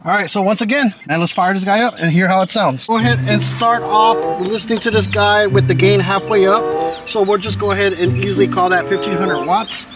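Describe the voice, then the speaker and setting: Male car audio vlogger, high-pitched, slangy and hyped, casual commentary with music clearly behind him. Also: brisk. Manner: informal, slangy, hyped-up delivery. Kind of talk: casual excited vlog commentary.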